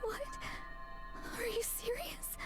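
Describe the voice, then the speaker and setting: A woman acting a hesitant, troubled young female protagonist in a visual novel, speaking in a halting, tentative way. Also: close to an everyday voice. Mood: troubled, confused. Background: game music.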